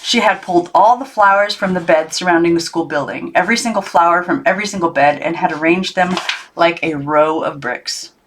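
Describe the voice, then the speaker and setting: A woman reading a children's novel aloud as an audiobook narrator, in an expressive storybook style. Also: fairly low pitch, a little fast, a little hiss or hum. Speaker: audiobook reader.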